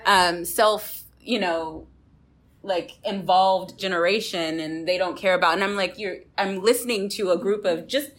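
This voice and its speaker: A woman with a lowish voice and a bright, forceful edge. She speaks as host and writer in a casual discussion, feeling her way through sentences. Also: unhurried. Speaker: playwright and host.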